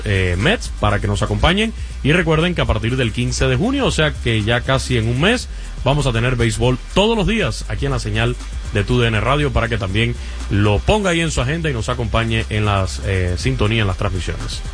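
A male baseball analyst on the radio, his voice mid-pitched and even. He delivers plugs for upcoming games in a measured, steady way over a faint music bed. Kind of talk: sports talk radio.

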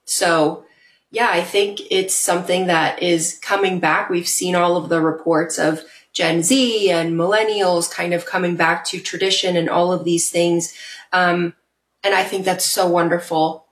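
A woman guest on a podcast speaks earnestly and reflectively, with occasional fillers. Her voice sits a little low, and the room around her sounds echoey. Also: steady tone, a little slow. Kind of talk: casual interview chat.

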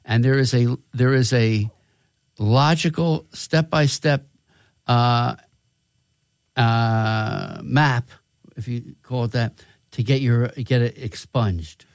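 A man co-hosting a recovery radio talk show, chatting casually and giving shout-outs to listeners. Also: medium pitch, slow pace, long pauses, no echo, studio quality.

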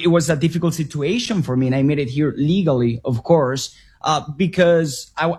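Brief: male guest, fairly high, husky, emphatic. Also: darkish tone. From Venezuela. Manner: earnest, emphatic. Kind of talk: radio interview.

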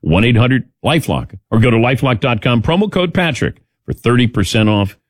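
A man's voice: medium pitch, animated, fairly quick, a little hiss or hum. A man hosting a sports talk radio show in a casual, wry, opinionated manner.

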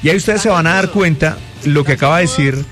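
A man's voice: a little high, quick pace, fairly bright tone, faint music behind. A man hosting a live radio show, teasing his guests and laughing often. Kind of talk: playful live radio banter.

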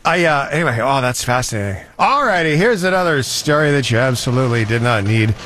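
A man hosting a talk show, telling stories in a chatty, animated way. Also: mid-range voice, brisk, a little hiss or hum. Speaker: show host. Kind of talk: casual talk-show banter.

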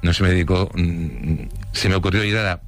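A man's voice: sits low, brisk, dry recording, soft background music. A middle-aged man from Spain recalling his childhood in a loose, casual storytelling manner, full of restarts. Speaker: interviewee. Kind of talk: recounting childhood memories.

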